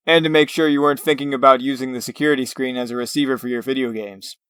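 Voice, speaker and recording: A man playing a firm, watchful superhero team commander in a comic dub, speaking with paternal authority in a smooth voice. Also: mid-range voice, fairly even intonation, fast talker, a bit bright, no room sound, studio quality, lightly acted. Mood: stern.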